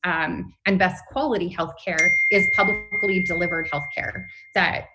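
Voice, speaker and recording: A woman's voice: fairly low pitch, steady tone, moderate pace, loud music behind the voice, poor audio. Canadian woman explaining with earnest emphasis. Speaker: nurses' union representative, interview guest. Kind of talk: spoken interview, advocacy.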